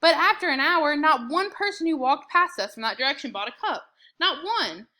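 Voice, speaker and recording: Woman reading as an eager, bright-voiced young boy. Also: high voice, animated, moderate pace, voice pushed up. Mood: puzzled, pressing. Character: enterprising young boy, eager and a bit sarcastic.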